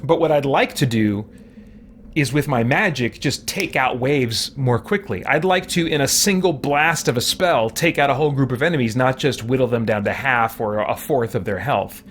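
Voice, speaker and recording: A man giving casual let's-play commentary as a streamer, rambling and thinking out loud as he goes. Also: medium pitch, normal speed, a little hiss or hum. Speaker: livestream gamer and host.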